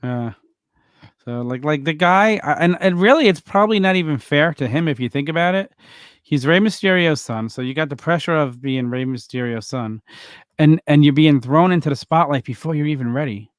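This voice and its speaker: A man's voice: on the high side, a little fast, smooth, no room sound, clean studio sound. A man co-hosting a wrestling podcast, rambling and teasing in casual chat.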